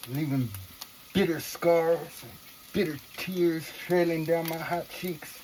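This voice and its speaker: A woman's voice: deep voice, fairly even intonation, slow pace, darkish tone, slight background noise, low-quality recording. A woman talking casually to viewers in an earnest, reflective monologue, with slangy, profane phrasing. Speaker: vlogger.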